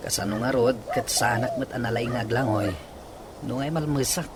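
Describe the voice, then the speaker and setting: A man's voice: medium pitch, moderate pace, heavy background noise, natural voice. A man acting a hesitant yet blunt young office worker in an Ilocano radio drama, speaking with an Ilocano accent. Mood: hesitant, doubtful.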